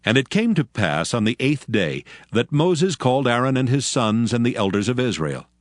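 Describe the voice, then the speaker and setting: A man narrating scripture in a steady, reverent, measured way for a dramatized audio Bible. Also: medium pitch, dry recording, clean studio sound. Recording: narration over clear music. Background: music.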